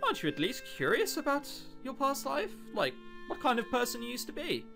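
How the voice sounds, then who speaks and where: A man voicing a calm, mysterious, evasive girl companion in a visual novel, soft-spoken and enigmatic. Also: extremely high pitch, fairly quick, over music, voice pushed up, full character voice. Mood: calm, coaxing. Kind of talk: visual novel dialogue read aloud.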